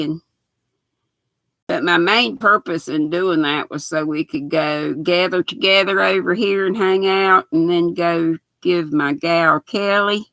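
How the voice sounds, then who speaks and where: An older woman with a raspy voice, chatting folksily with her livestream viewers while she sews. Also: mid-range voice, sharp tone, no room sound, poor audio. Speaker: knitting livestream host. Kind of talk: casual live chat while sewing.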